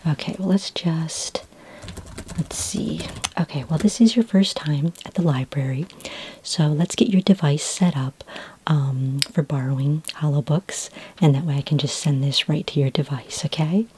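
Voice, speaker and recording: A woman speaking in a soft, breathy whisper as a friendly, patient librarian in a gentle ASMR roleplay. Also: sits low, a little hiss or hum. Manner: gentle, unhurried, helpful customer-service patter. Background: computer keyboard typing.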